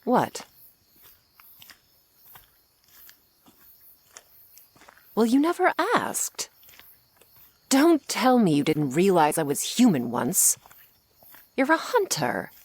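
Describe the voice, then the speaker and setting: A woman playing a teasing, menacing century-old female vampire in an intimate ASMR roleplay, sardonic and unhurried, sometimes slipping toward a whisper. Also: mid-range voice, sing-song, darkish tone, breathy edge, dry recording, full character voice. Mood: incredulous, mocking.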